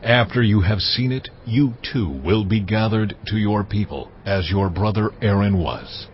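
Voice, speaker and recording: Audio Bible role: male actor as God, solemn, authoritative, lowish measured delivery, faint ambience behind. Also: lightly acted. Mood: solemn commanding. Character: God, authoritative male Lord giving commands. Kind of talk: dramatized audio Bible acting. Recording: dull audio, faint background noise.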